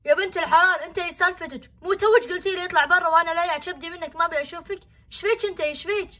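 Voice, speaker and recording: A woman playing a stern, worried father in a homemade family skit, quick and exasperated. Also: very high voice, pushed and bright.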